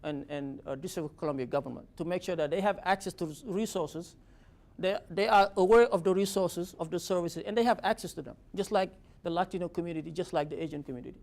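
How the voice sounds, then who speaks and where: A man's voice: high-pitched, fast, vocal fry, a little mellow. A man from Senegal, an office director speaking informally to present himself and his work. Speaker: government office director giving a talk.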